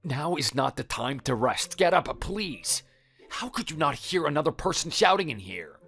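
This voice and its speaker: A man plays a cheating husband in an audio drama. His voice jumps around in pitch as he stammers defensive, pleading excuses, with faint music underneath. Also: sing-song, normal speed, a bit bright, breathy edge, full character voice. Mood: panicked, pleading. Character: cheating husband caught in a motel, defensive, flustered liar. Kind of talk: dramatized audio story acting.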